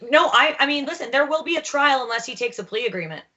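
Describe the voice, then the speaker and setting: A woman with a bright, forceful and fairly high voice speaks on a talk show panel. She lays out her points firmly, one step at a time. The audio is slightly muffled and lo-fi. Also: lively intonation, fast. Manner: firm, explains the case step by step.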